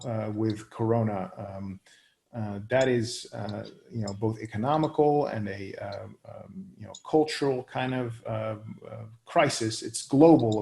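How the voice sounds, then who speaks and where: A man in his thirties, raised in the Netherlands, answers interview questions at an unhurried, searching pace. His voice sits at an ordinary middle pitch with a noticeably gravelly edge. He keeps pausing to hunt for the right words. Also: darkish tone. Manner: many fillers and restarts, searching for words. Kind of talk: hesitant conversational answers.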